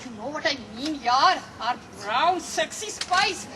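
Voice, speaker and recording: A woman's voice: high-pitched, lively intonation, unhurried. A woman performing a comedic dramatic reading, theatrical and gushing. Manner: theatrical, gushing, sing-song delivery. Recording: noisy live room recording.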